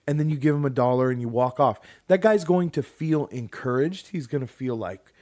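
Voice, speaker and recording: A man's voice: medium pitch, animated, fairly quick, darkish tone. A young man co-hosting a casual podcast, talking in a rambling way with many restarts. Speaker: podcast co-host. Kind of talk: casual chat.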